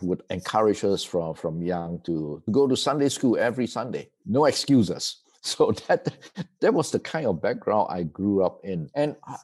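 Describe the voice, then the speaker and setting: A middle-aged man from Malaysia tells his story as an interview guest. His voice sits very low. He speaks in a reflective way, often repeating words. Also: darkish tone, slightly rough. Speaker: seminary president, guest.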